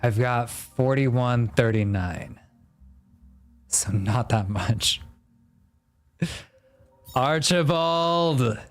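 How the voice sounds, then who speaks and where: A man's voice: medium pitch, drawn-out words, pauses often. A man streaming a video game with casual live commentary, tossing out exclamations and muttering as he reads along. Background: faint game music.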